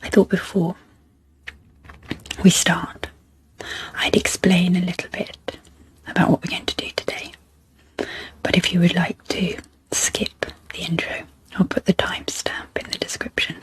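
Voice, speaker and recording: A woman with a soft, breathy, fairly low voice speaks in a gentle ASMR style. Her delivery is very slow, broken into short phrases with pauses. The voice often slips into a near whisper, as in a guided sleep meditation. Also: flat delivery, poor audio. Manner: gentle, unhurried, pausing between short phrases. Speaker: host, ASMR psychologist.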